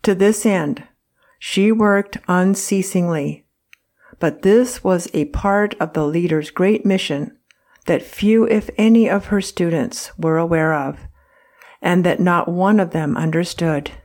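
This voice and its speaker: Woman narrating a history, slow and careful, kinda low voice, earnest tone. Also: darkish tone. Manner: measured, earnest reading. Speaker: narrator reading a historical account. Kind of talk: scripted narration.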